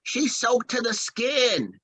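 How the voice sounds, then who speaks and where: A woman's voice: fairly high pitch, very animated, slow, a bit bright, husky, no room sound, full character voice. A woman voicing a fretful young husband in an old-time radio drama, stammering and flustered as he fusses over his mother. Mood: worried.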